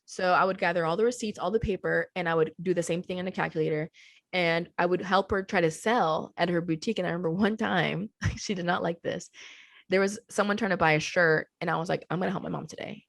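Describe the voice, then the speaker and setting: A woman who grew up in Colombia telling her family story as a podcast guest, animated, laughing easily and slowing down for emphasis. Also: fairly low pitch, creaky, dry recording. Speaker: podcast guest, business co-founder. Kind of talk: casual storytelling interview. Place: at home with a child nearby.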